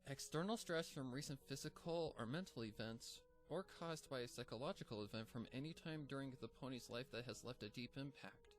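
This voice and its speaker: A man reading a fanfic aloud, voicing an earnest, bookish young female pony in a gentle, lightly raised voice. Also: mid-range voice, a little fast, hardly any pauses, faint music behind, natural voice. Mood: studious.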